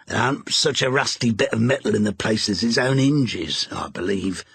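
A man voicing a sly old pawnbroker with a low, drawling, wheedling manner. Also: moderate pace, gravelly, a bit bright, slightly rough, no echo, exaggerated voice.

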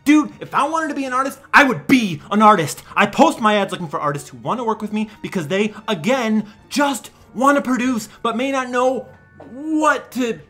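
A young man giving an over-the-top dramatic reading as a smug, defensive forum poster, theatrical and swinging between breathy whispers and shouting. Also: very high-pitched, expressive, moderate pace, faint music behind, voice pushed up, exaggerated voice. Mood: indignant, defensive. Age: young adult. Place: small room at home.

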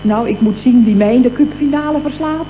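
Woman acting a high, gushing, cheeky office colleague. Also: fairly quick, natural voice. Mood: gleeful, excited. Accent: Dutch, from the Netherlands. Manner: gushing, exclamatory, teasing. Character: chatty, gleeful, cheeky female office colleague. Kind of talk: late 1960s comic radio play acting. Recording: tinny, phone-like old radio recording, faint noise.

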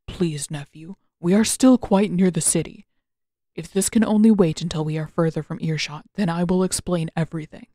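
A woman's voice: lowish voice, fairly even intonation, warm tone, no room sound, studio quality, close to an everyday voice. A woman voicing a formal, placating older general and uncle in an audiobook story. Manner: formal, measured, polite.

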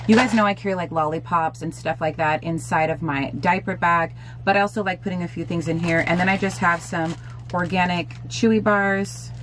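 A woman in her thirties, slightly hoarse, chatting upbeat to viewers as she shows things to the camera in a small bedroom. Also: on the low side, steady tone, brisk, noisy background. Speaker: lifestyle vlogger. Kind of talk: casual product show-and-tell.

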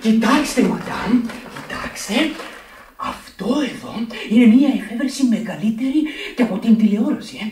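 Slick, animated high-voiced salesman. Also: slight background noise. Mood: ingratiating. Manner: ingratiating patter, showy. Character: slick, mocking, pushy male travelling salesman. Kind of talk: Greek radio theatre acting. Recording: echoey, distant from the microphone.

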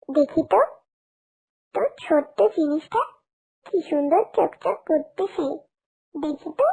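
A cheerful little daughter in an animal cartoon. The voice is extremely high and childlike, with a sing-song, eager and insistent delivery. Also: female voice, a little mellow, heavily acted. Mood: curious delight. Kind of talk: cartoon character acting.

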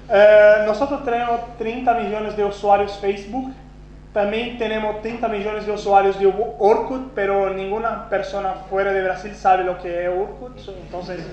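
A Brazilian man whose first language is Portuguese, giving a conference talk with a Brazilian accent, self-deprecating and halting, with many restarts. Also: high voice, darkish tone. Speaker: conference speaker. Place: conference hall. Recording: roomy, dull lo-fi sound with faint hum.

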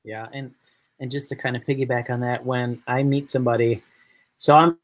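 A man from upstate New York hosting a casual live video chat with guests, talking in a chatty, animated way. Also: medium pitch, normal speed. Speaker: host.

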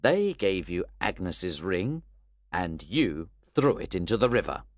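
A stern older gentleman sets out his accusations in a low, measured voice with lively inflection, voiced by a man reading the story. He is firm and unhurried, and the recording is dry. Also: no echo.

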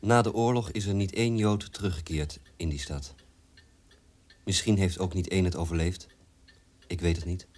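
Sombre guy in his twenties, low voice, radio play monologue. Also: normal speed, long pauses, darkish tone. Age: 20s. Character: sombre 29-year-old son of an SS commander.

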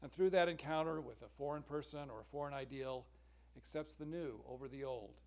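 A middle-aged man giving an academic conference talk from a podium in a large lecture hall, measured and earnest, clearing his throat now and then. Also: medium pitch. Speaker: symposium speaker. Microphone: podium microphone, far camera.

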